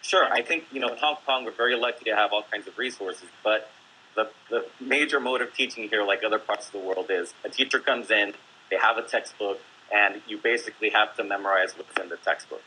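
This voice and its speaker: A man's voice: fairly low pitch, brisk, pushed and bright, a little hiss or hum, rough recording. A man, a teacher, speaking as a guest on a discussion panel, explaining earnestly with many fillers.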